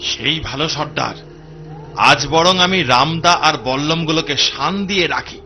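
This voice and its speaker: A man playing an eager, bloodthirsty bandit henchman in a cartoon, boastful and excitable. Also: a little high, moderate pace, faint music behind. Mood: eager, scheming. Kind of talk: cartoon voice acting in Bengali.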